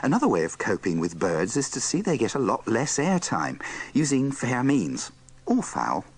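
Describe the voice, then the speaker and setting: A man's voice: medium pitch, huge pitch swings, moderate pace, slightly rough. A man giving wry, scripted narration for a comedy compilation in a dry, tongue-in-cheek manner. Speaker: narrator of a radio bloopers compilation.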